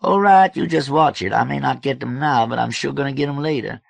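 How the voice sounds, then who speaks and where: Man doing a boisterous folksy fellow, pitched way up. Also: fairly quick, vocal fry, no room sound. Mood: hearty, expansive. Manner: loud, slangy, drawling, emphatic. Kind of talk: audiobook character voice.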